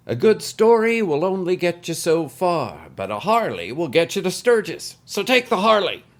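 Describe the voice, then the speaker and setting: A jovial captain acted out by a man hosts a scripted podcast with theatrical heartiness. His pitch moves in animated swings and he relishes his wordplay. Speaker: podcast host.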